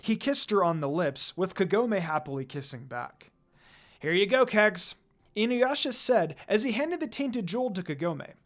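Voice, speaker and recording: A woman reading a scripted story as narrator, in a dramatic, expressive way. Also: mid-range voice, moderate pace, hardly any pauses. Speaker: fan fiction narrator.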